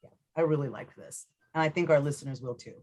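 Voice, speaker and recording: A woman's voice: low voice, fast talker, a little mellow. A woman hosting a casual radio interview in an informal, rambling way, with lots of "you know". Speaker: radio show host, interviewer.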